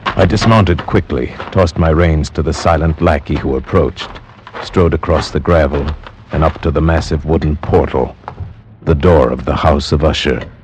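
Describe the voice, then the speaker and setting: An actor playing a somber, anxious gentleman narrator in 1940s radio drama, with formal, dramatic, literary diction. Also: rumbling low, normal speed, noisy background. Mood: dread-filled. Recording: old radio recording with music behind.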